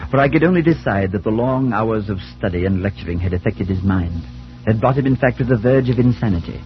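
Actor as an animated, formal priest in old radio drama. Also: male voice, fairly low pitch, natural voice. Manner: measured, formal, theatrical. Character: skeptical, concerned priest telling an eerie tale. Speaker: narrator and lead character in a radio play. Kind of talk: 1940s radio drama acting. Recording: dull 1940s radio broadcast, music behind.

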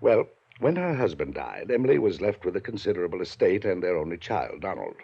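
An actor playing a pompous, domineering wealthy older uncle in a 1950s radio drama. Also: male voice, low-pitched, big pitch swings, brisk, creaky, dark tone, slightly rough, full character voice. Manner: pompous, clipped, rises to angry lecturing. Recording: dull lo-fi 1950s radio broadcast.

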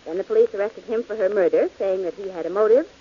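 A woman's voice: mid-range voice, fast talker, husky, lightly acted. A woman playing a wry, doubting companion. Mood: skeptical. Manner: dry, skeptical. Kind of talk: 1940s radio acting. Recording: dull old radio broadcast with hiss.

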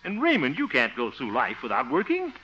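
A man's voice: mid-range voice, wildly swooping pitch, fairly quick, faint music behind. An actor playing an exasperated, pompous but good-hearted man in a 1950s radio sitcom, blustering and muttering asides. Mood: lecturing. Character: exasperated, pompous, good-hearted grown son and self-styled mentor. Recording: dull old-time radio recording.

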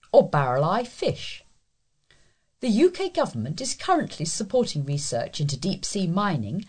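Husky British woman reading local news. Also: medium pitch, darkish tone. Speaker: volunteer newsreader.

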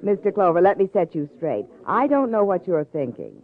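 An actress playing a cool, protective young fiancée in 1950s radio crime drama, brisk, direct and no-nonsense. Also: female voice, on the low side, very animated. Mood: firm, protective. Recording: muffled vintage radio recording, faint music.

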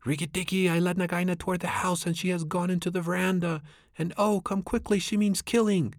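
Storybook bird: man doing a small, frantic mother bird, shrill and high. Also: steady tone, a little fast, no room sound, clean studio sound. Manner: shrill, shrieking cries.

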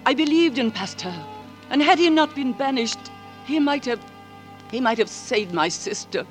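An actor playing an impassioned, grieving French loyalist, theatrical and emotional in 1940s radio drama style. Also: male voice, very high-pitched, animated, normal speed, faint music behind. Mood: bitter, grieving. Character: impassioned, grieving French believer in a banished scientist.

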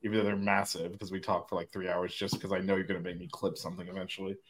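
A man's voice: sits low, expressive, racing, fairly bright tone. A man co-hosting a casual podcast, wry and blunt. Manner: wry, blunt, laughs into lines. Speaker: podcast co-host and editor. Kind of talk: casual chat.

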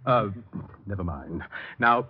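A very narrow, tinny radio recording holds a pompous male psychic professor. He is formal and theatrical, easily flustered, and his pitch moves around a lot. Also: expressive, takes it slowly, slightly rough, exaggerated voice. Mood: flustered, impatient. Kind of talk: 1950s radio drama comedy acting.

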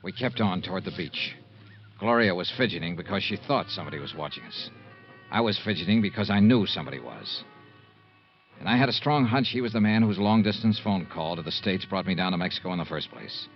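An actor playing a wry, hard-boiled insurance investigator in a 1950s radio drama, sardonic and dry. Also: male voice, deep voice, expressive, a little fast, soft background music. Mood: narrating. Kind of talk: 1950s radio drama acting and first-person narration. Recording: muffled 1950s radio recording.

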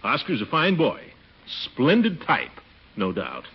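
A dull vintage radio recording carries a husky, blustering middle-aged uncle. He is pompous, fond and flirtatious, his theatrical delivery leaping all over his range in 1940s situation-comedy style. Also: mid-range voice, huge pitch swings, measured pace, exaggerated voice. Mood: effusive, eager.

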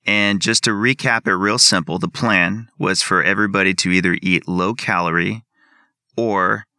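A man's voice: sits low, fairly even intonation, normal speed, sharp tone, no echo, clean studio sound. A man as a podcast guest, methodically laying out the plan of his experiment. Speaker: guest researcher. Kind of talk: explaining an experiment.

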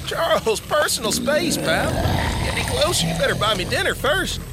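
An actor playing a jittery small-town deputy in an audio drama, colloquial, easily rattled and given to exclaiming. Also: male voice, very high-pitched, very animated, moderate pace, heavy background noise. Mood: joking.

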